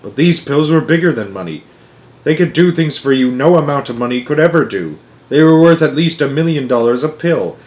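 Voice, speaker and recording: A man reading a children's story aloud as an expressive narrator. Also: fairly high pitch, a little fast.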